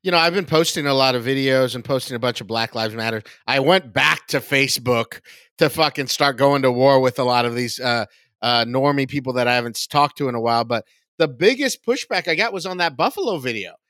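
A man's voice: mid-range voice, fairly quick, a bit bright, no echo, studio quality. A man, a comedian guesting on a podcast, chatting casually about politics and repeating words as he searches for the next thought.

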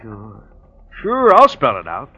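An actor playing an overworked, sarcastic police sergeant in a vintage radio drama, curt and impatient. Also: male voice, medium pitch, wildly swooping pitch, slow pace, bright, forceful tone, husky, full character voice. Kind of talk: 1940s radio acting. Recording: muffled old radio broadcast.

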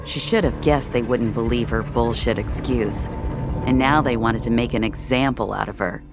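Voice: mid-range voice, moderate pace, over music. A narrator reading scripted audio fiction in a wry, hard-boiled storytelling manner.

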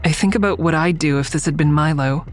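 Low-voiced, wary woman investigator played by an actress. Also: fast, soft background music, natural voice. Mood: uneasy, reflective. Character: wary, dry-witted woman investigator narrating her own story. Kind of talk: first-person audio drama narration and dialogue.

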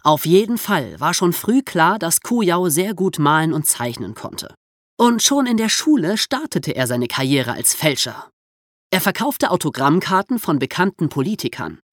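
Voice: mid-range voice, very animated, normal speed, no echo, clean studio sound. A podcast host narrating from a script in an explanatory storytelling way, with conversational asides.

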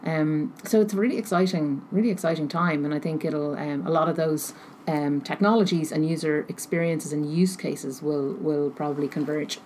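A woman answering interview questions thoughtfully, pausing often as she searches for her words. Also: low-pitched, fairly even intonation, a little mellow. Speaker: interview guest, technology researcher. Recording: noticeable background noise.

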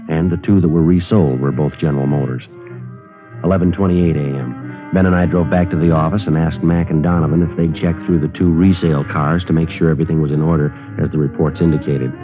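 An American man playing a terse, methodical police sergeant in a 1950s radio drama, narrating and questioning in a clipped, deadpan way. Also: sits low, narrow, tinny audio, lightly acted. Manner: deadpan, matter-of-fact. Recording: old band-limited radio broadcast, music behind.

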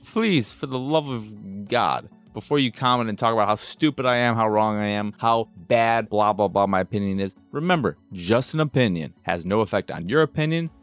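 A man's voice: lowish voice, normal speed, few pauses, soft background music. A man hosting a video game show with casual, sweary, rambling commentary and an exasperated, self-deprecating manner.

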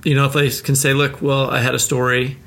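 A man's voice: medium pitch, little pitch movement, fairly quick, fairly bright tone. A man co-hosting a podcast, interviewing in an informal, enthusiastic, rambling way. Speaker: podcast co-host. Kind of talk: casual, chatty interviewing.

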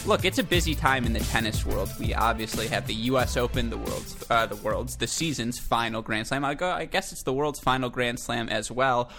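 A man in his twenties hosting a sports podcast, talking in a chatty, self-deprecating way with quick asides. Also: mid-range voice, pushed and bright, faint music behind. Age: 20s. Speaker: podcast host. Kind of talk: casual sports podcast interview.

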